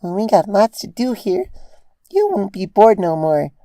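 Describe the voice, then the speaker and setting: A performer voicing an excitable, sweet little unicorn filly in cutesy baby talk for a storybook reading. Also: medium pitch, a little slow, no room sound, clean studio sound, pitched above the performer's own voice. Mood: cheerful, eager. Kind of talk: storybook character voice in fan fiction reading.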